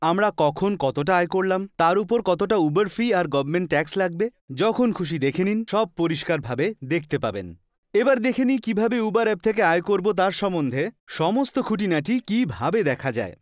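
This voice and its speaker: High-pitched man narrating a training film. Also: dry recording, studio quality. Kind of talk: scripted narration, instructional.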